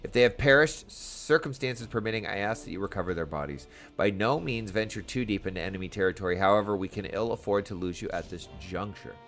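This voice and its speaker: A man's voice: lowish voice, quick pace, hardly any pauses, a bit bright, soft background music, pitched below the performer's own voice. A man voicing a stern, earnest garrison commander from a fantasy game, speaking in formal, archaic military language. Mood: grave, worried. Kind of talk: reading game dialogue aloud in character.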